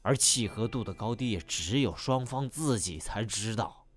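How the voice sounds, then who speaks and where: A man's voice: lowish voice, animated, normal speed. A man playing a polite, fussy scientist who explains things formally and with awkward tact in an audio drama. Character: polite, fussy male scientist acting as matchmaking consultant. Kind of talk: Chinese audio drama acting. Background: music.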